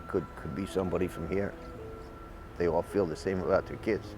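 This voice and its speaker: Middle-aged man, deep voice, halting, interview, music behind. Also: steady tone. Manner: halting, searching for words. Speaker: interviewee, bereaved father. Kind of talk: unscripted interview.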